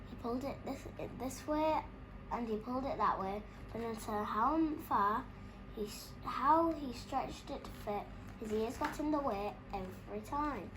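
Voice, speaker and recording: A woman with a fairly high voice reads a children's story aloud. Her narration is expressive, and there is clear noise in the recording. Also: normal speed. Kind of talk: expressive picture-book read-aloud.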